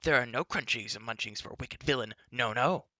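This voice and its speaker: A man voicing an excitable, childlike creature who bursts out shrilly. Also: mid-range voice, fairly quick, no room sound, natural voice. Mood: outraged.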